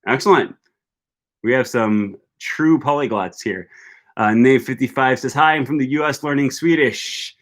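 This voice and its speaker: A man's voice: medium pitch, moderate pace, a bit bright. A young man hosting a live language-learning discussion, talking in an emphatic, teacherly way and often checking in with "okay" and "right.". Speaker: language learning channel host. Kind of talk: live-stream discussion, reading chat comments.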